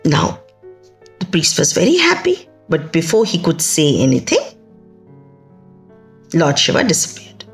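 A middle-aged woman with an Indian accent telling a folktale in an expressive, emphatic way, with conversational asides. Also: low-pitched, measured pace, pauses often. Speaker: storyteller. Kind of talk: dramatic oral folktale storytelling. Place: indoors, small plain room. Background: music.

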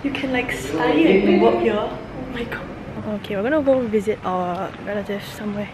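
A woman's voice: medium pitch, fairly quick, a crowd in the background. A young woman chatting casually to viewers as a travel vlogger, filming herself on a handheld camera. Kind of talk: casual vlog chat to viewers. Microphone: handheld camera at arm's length. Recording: clear background noise.

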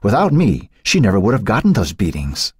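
A man reading the part of a cold, sly woman who is a hidden second personality, speaking in a husky voice. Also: on the low side, wildly swooping pitch, brisk, a little mellow, dry recording, studio quality, voice pushed up, exaggerated voice. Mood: taunting. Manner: taunting, sly, icy.

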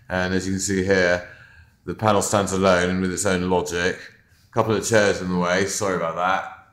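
A man with a deep, fairly monotone voice gives a relaxed, offhand tour of his wall mural. He points things out casually as he walks with the camera. The office lunchroom around him rings with a noticeable echo. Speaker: muralist giving a walkthrough. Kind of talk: casual narrated video tour.

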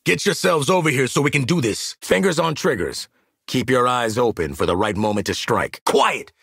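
Husky, cocky mercenary marksman. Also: on the high side, animated, normal speed, a bit bright, no room sound, clean studio sound, full character voice. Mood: commanding, urgent. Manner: brash, sardonic, profane, slangy. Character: cocky, gruff, streetwise male marksman mercenary leading a squad. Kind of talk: video game combat barks and banter.

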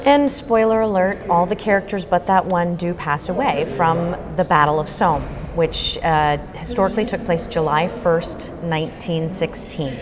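Female interviewee, thirties, American, slightly low voice, earnest explainer, busy lobby with audible crowd. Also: normal speed, few pauses. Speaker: theater guest being interviewed. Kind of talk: informal on-camera interview. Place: large indoor lobby. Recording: crowd murmur in public lobby.

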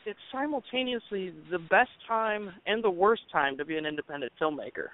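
A film director from Colorado, talking casually and joking in an interview with plenty of fillers. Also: male voice, high voice, expressive, brisk. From Colorado, USA. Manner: joking, talkative, thinks aloud with fillers. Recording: tinny phone line.

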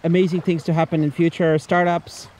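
A man's voice: a little high, little pitch movement, a little fast, a little mellow, rough recording. A man from Denmark chatting casually as an interviewer, speaking outdoors. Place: outdoors on a sea beach, behind camera. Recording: outdoor seaside background noise.

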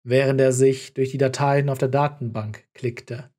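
A man's voice: medium pitch, little pitch movement, normal speed, a little mellow. A man reading a scripted story as an audiobook narrator.

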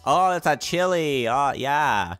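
A man's voice: a little high, lively intonation, slow, a bit bright, faint music behind. A young man doing goofy, improvised roleplay commentary as host of a gaming video. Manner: playful, goofy.

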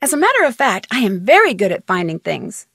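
An actress playing a curious, confident young amateur detective in a video game. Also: female voice, mid-range voice, wildly swooping pitch, fairly quick, vocal fry, a bit bright, breathy edge, no room sound, clean studio sound, heavily acted. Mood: earnest. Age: young adult. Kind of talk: video game character dialogue.

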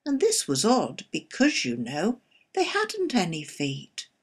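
Husky woman reciting narrative verse. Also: medium pitch, huge pitch swings. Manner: measured, storytelling delivery. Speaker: poetry reader.

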